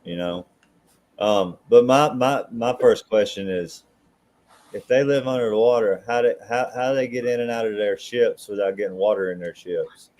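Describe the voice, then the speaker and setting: A man's voice: lowish voice, normal speed, a little mellow. A man in casual podcast chat who often repeats and restarts his words.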